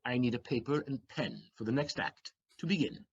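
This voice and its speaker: A man's voice: mid-range voice, moderate pace, breathy edge, dull audio, close to an everyday voice. A man acting a shrewd, sardonic, swaggering servant in an audio play, with declamatory, mocking delivery and theatrical emphasis. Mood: defiant.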